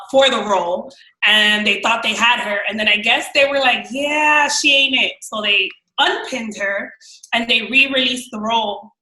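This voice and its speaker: A young woman with a New York accent, telling stories as a podcast guest in an animated, slangy way. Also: a little high, roomy sound, poor audio. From New York, raised in Miami. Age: young adult. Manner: animated, slangy, quotes people in voices. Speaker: guest actress. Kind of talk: casual storytelling chat.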